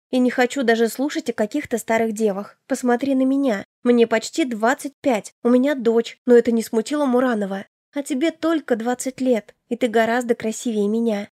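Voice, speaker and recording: A woman's voice: fairly high pitch, dark tone, dry recording, clean studio sound, lightly acted. A woman voicing a caring, protective elder sister in her twenties in an audiobook, firm, reassuring and motherly. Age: 20s. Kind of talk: audiobook character dialogue.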